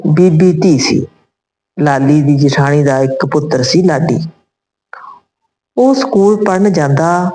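A woman narrates a scripted story as a storyteller, reading deliberately in short phrases. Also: deep voice, mellow. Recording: roomy, echoing voice recording.

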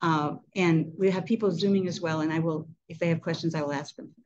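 A woman's voice: deep voice, steady tone, a little fast, a little mellow, muffled recording. Chatty woman in her sixties hosting a meeting. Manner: chatty, laughing asides. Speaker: club president hosting a meeting. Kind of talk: casual meeting announcements. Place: indoor meeting room. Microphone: handheld mic, webcam from a distance.

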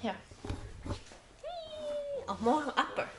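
A woman's voice: a little high, animated, drawn-out words, crowd noise. A woman chatting casually while filming her own travel vlog, playful and laughing often. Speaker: vlogger. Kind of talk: casual chat. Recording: handheld camera with loud background noise.

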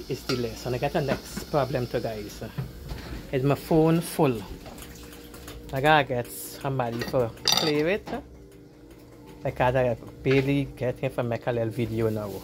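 A woman with a Guyanese accent chatting casually to viewers while she cooks in her home kitchen, often repeating her words. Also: rumbling low. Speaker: cooking vlogger. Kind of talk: casual cooking vlog chat. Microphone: handheld phone camera. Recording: noisy phone recording with frying sizzle.

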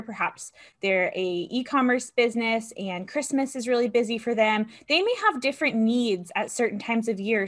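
A woman in her twenties, the interviewer, reading smooth prepared questions. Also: a little high.

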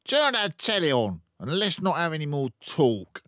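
Loud, high-pitched blustering bully father. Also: big pitch swings, moderate pace, dry recording. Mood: angry, shouting. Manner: blustering, shouting, pompous. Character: loud bullying boastful father, hot-tempered car dealer. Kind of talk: storybook read-aloud character voice.

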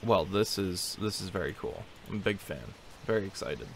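A man's voice: sits low, moderate pace, gravelly, slight background noise. A man casually commenting while playing a video game, thinking aloud in a wry way. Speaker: let's play host. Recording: voice over loud game music.

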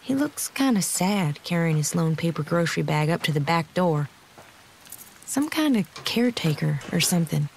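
Low-voiced, wry, dazed young woman. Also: sing-song, normal speed, slight background noise. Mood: wary. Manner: casual, wry, hesitant. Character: confused amnesiac young woman left for dead, wry. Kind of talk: first-person dramatized audiobook narration. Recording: dramatic music behind the voice.

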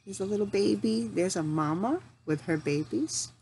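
A woman in her forties reading aloud to young children as their teacher, phrasing things simply and deliberately and asking them questions. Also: mid-range voice. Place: home room in front of a large window. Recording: steady noticeable background noise.

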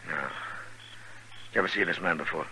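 A stern Old West marshal in a vintage radio western. His American voice is very deep, and he speaks with measured authority. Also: bright, forceful tone, slight background noise, poor audio. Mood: stern, calm. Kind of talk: 1950s radio western acting. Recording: vintage 1950s radio broadcast.

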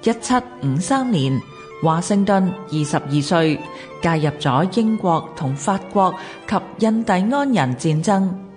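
A Hong Kong woman narrates history from a script in a fairly low voice. Her delivery is formal and measured, over faint instrumental music. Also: animated, a little mellow.

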